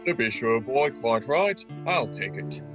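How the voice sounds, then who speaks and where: A man playing a brisk, officious, hearty sergeant in a radio play, repeating himself with authority. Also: mid-range voice, expressive, close to an everyday voice. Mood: brisk, blustering. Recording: music playing under the voice.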